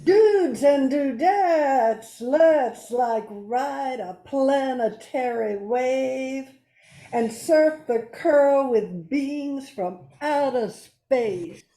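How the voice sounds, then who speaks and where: A woman's voice: high-pitched, animated, very slow, mellow, exaggerated voice. A woman voicing a laid-back, spacey hippie surfer reindeer with a dreamy drawl in an amateur Christmas radio play, in an echoey space.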